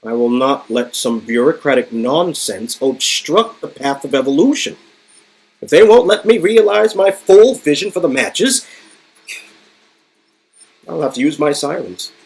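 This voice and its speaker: A man's voice: a little high, expressive, unhurried, darkish tone, husky, full character voice, poor audio. A man playing a grandiose, smug, absent-minded male inventor villain with a theatrical, stammering delivery in a dramatic reading of game dialogue. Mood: defiant. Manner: theatrical, stammering, self-important.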